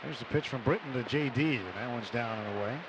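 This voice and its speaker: A man doing live baseball play-by-play on radio in measured phrases with clipped count calls. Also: mid-range voice. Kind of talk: live radio sports commentary. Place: stadium broadcast booth. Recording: noisy live ballpark radio broadcast. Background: ballpark ambience.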